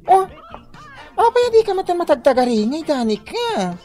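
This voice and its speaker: An actress playing a frank, teasing young office friend in a radio drama, speaking with an Ilocano Filipino accent. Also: female voice, very high voice, very animated, moderate pace, mellow, soft background music. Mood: friendly.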